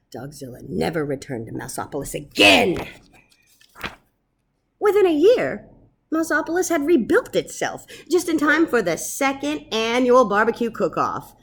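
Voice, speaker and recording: A woman reading a children's story aloud as narrator, dramatic and expressive. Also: medium pitch, slightly rough. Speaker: storybook reader. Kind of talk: animated read-aloud narration.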